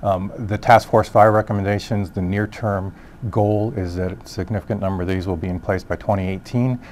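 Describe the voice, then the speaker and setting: A man giving explanatory interview answers as an industry expert, haltingly rephrasing as he goes. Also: lowish voice, fairly even intonation, darkish tone, a little hiss or hum. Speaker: industry expert, solutions director.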